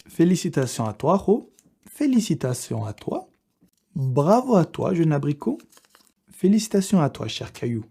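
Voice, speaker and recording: A man's voice: on the high side, very animated, a little slow, vocal fry, long pauses, mellow, breathy edge. A young man chatting casually to his online followers in a slangy, crude, joking manner. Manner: joking, crude, prone to breaking into laughter. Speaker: online video creator.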